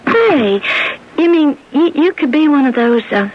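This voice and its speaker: A woman's voice: sits high, animated, a little slow. A woman acting in a radio drama as a kind, shrewd American lunch counter owner, frank and down-to-earth. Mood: curious, probing. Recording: muffled old radio broadcast.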